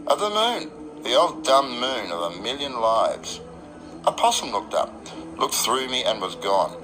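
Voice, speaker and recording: A man's voice: mid-range voice, sing-song, measured pace, low-quality recording. An Australian man performing a dramatic first-person radio narration in a wry, literary beat-poet storytelling manner. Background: electric guitar music.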